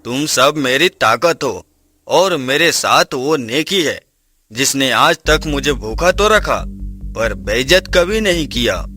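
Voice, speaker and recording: A man's voice: mid-range voice, animated, takes it slowly, bright, forceful tone, heavily acted. A man voicing a humble, honest and brave village father in a cartoon tale, earnest and reassuring.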